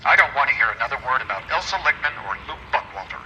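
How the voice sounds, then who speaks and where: An actor playing an evasive, bullying American insurance executive, curt and commanding, in a period noir video game. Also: male voice, medium pitch, sing-song, very fast, bright, forceful tone, lo-fi sound, a little hiss or hum. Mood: stern, commanding.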